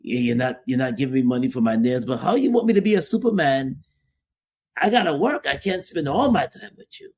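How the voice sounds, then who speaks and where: Husky man talking it through slowly. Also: mid-range voice, big pitch swings. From Guyana (family). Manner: repetitive, restarts and repeats phrases for emphasis. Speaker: podcast co-host. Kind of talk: casual opinion talk.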